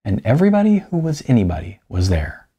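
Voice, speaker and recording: A man voicing a weary, self-deprecating writer of almost fifty, halting and sardonic, in an audiobook. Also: medium pitch, huge pitch swings, mellow, breathy edge, heavily acted. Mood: sheepish, defensive.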